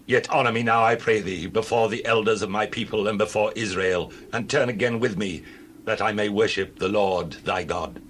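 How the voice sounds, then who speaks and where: Man acting as low-voiced, self-excusing biblical king. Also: normal speed, a bit bright, slight background noise, natural voice. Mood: contrite, pleading. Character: proud king turned pleading, self-excusing. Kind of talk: dramatized scripture reading.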